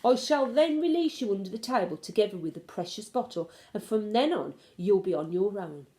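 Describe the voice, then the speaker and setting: A woman's voice: mid-range voice, expressive, brisk, warm tone, lightly acted. Woman voicing a shrewd, emphatic old grandmother. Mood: fond, firm.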